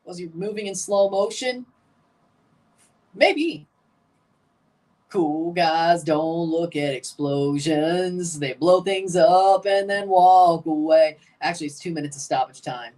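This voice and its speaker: A man's voice: on the high side, slow pace, far from the mic. Chatty man calling a live match. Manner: chatty, rambling, calls goals excitedly. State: guarding a strained voice. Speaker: streamer hosting a match watchalong. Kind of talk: live casual match commentary.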